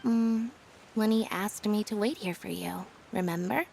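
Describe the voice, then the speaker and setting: Game role: aloof young magician's assistant, female, mid-pitched, deadpan and composed, slight background noise. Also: a little slow, vocal fry. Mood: calm. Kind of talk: video game character acting.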